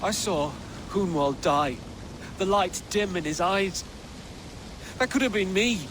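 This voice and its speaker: A shaken young warrior in a video game drama. His voice is high and slow, full of anguish and disillusion, with clear rainy ambience behind. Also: expressive, noisy background. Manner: anguished, emotional.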